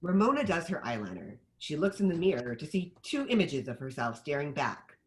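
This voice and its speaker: Low-voiced, very animated woman narrator. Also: brisk. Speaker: stage-direction narrator. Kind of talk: scripted screenplay narration.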